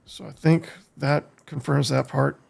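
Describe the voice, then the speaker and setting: An American man streaming a game, giving casual live commentary in a halting way as he thinks aloud, with a gravelly, husky voice. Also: medium pitch, fairly even intonation, darkish tone. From United States. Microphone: streaming desk microphone.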